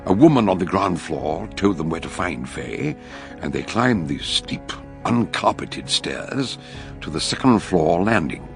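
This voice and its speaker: An actor narrating a suspenseful radio mystery in a measured storyteller's manner, with a British accent. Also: male voice, deep voice, expressive, creaky, faint music behind, exaggerated voice. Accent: British English. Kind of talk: scripted radio drama narration.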